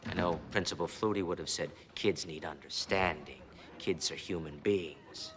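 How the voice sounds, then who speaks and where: Stern male principal, played by an actor, TV drama: low register, clipped, deadpan authority, zero tolerance. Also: moderate pace, music in the background, lightly acted. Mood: scornful, stern. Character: stern, intolerant male high school principal. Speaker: actor in a TV series. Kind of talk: TV comedy-drama acting.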